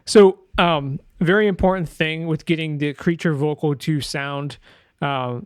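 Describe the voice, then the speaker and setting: A man's voice: fairly high pitch, fairly even intonation, measured pace, smooth, no echo, studio quality. A man giving an informal sound design tutorial, thinking aloud in a hesitant way as he demonstrates. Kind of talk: informal screen-share tutorial narration.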